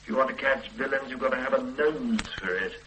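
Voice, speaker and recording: An actor playing a brisk, authoritative police superintendent in a radio drama. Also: male voice, mid-range voice, pushed and bright, a little hiss or hum, natural voice, rough recording. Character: brisk modern-minded male police superintendent. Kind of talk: 1970s radio drama acting.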